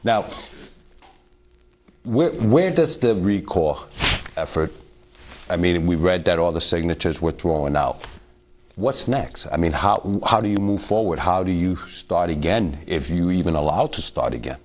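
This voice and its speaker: A man's voice: deep voice, lively intonation, a little slow, darkish tone. A man hosting a studio talk show, asking questions hesitantly with ums and uhs.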